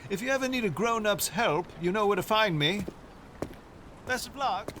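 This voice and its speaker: Lively, genial schoolteacher. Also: male voice, mid-range voice, normal speed. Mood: friendly. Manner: polite, wordy, formal. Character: genial, courteous male schoolteacher, no longer young. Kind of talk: audio drama acting. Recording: noticeable street ambience noise.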